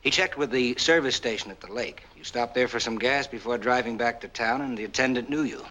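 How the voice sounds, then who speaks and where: A man acts out a self-assured war veteran in a 1940s noir film. His voice is bright and forward at a middle pitch. He speaks in measured, courteous sentences with cool control, on an old film soundtrack. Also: a little hiss or hum, close to an everyday voice, low-quality recording. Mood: coolly confident. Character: smooth, self-assured war-veteran husband, calmly explaining.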